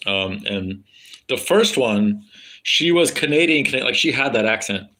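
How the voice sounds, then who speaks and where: American guy streaming, bright edgy tone, lots of ums and f-bombs, just chatting away. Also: mid-range voice, animated, measured pace. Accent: standard American. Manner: loose and rambling, swears freely, many fillers. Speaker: live streamer. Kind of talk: casual rambling chat with viewers.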